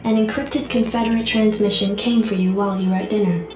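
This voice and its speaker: A calm, formal computer adjutant who reads out briefings and alerts, as a woman acts her out. Her voice sounds very echoey and set back from the mic, with music underneath. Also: mid-range voice, fast talker, lightly acted. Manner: formal, even announcements. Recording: echoey distant game audio with music.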